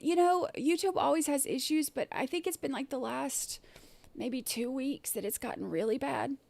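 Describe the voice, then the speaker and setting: A woman hosts a casual livestream, her voice gravelly and scratchy from an irritated throat. She sits a bit high in pitch and keeps clearing her throat. She rambles with fillers and restarts, and the sound is dry and close. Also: darkish tone, dry recording. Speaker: livestream host.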